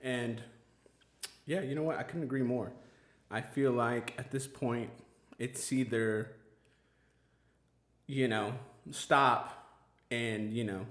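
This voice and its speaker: A man's voice: mid-range voice, steady tone, slow, pauses often, a bit bright, echoey room. A man hosting a casual podcast chat, talking earnestly with lots of fillers and restarts. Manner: rambling, filler-heavy, earnest. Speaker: podcast host.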